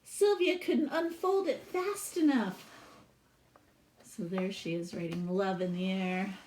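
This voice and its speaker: Slow-paced woman reading a storybook aloud. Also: medium pitch, a little hiss or hum. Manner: animated, pausing to ask listeners questions. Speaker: teacher reading a picture book aloud. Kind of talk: expressive storybook read-aloud with asides to children.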